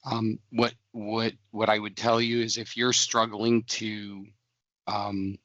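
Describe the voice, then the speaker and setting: A man with a hoarse, worn-out voice, giving rambling advice with many restarts in a casual video call chat. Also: on the low side, flat delivery, slow pace, fairly bright tone, no room sound. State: hoarse, lost voice. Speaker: community member, former developer. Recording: muffled call audio.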